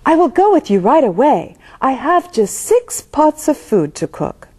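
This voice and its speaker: A woman voicing a cheerful, unhurried old grandmother in a children's storybook, politely and brightly putting off a visitor. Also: sits high, sing-song, pitched above the performer's own voice. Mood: cheerful, stalling. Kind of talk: children's storybook read-aloud.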